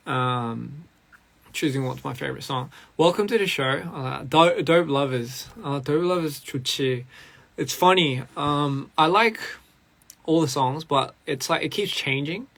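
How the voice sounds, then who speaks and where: A man with a slightly raspy, hoarse voice after a recording session, chatting casually with fans on a live stream, moving between Korean and English. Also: medium pitch, a bit bright. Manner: switches between Korean and English, laughs often, reads comments.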